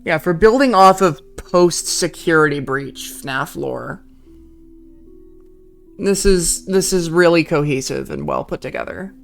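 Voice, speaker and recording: Chatty streamer, swears a lot, says like constantly, some game music quiet in back. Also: mid-range voice, expressive, measured pace, creaky. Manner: chatty, swears freely, lots of fillers. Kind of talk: casual gaming stream chat.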